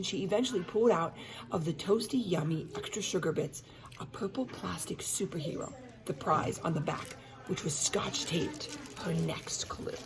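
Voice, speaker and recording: A woman reads a children's story aloud expressively, engaging young listeners with teacherly asides and questions. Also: lowish voice.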